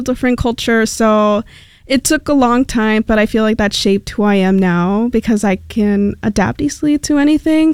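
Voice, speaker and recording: A woman's voice: on the high side, clean tone, no room sound, clean studio sound. Chatty young woman, Spanish native speaker. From Mexico. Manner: chatty and rambling, many fillers. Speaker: radio station intern, guest. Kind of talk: casual radio chat. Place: radio studio.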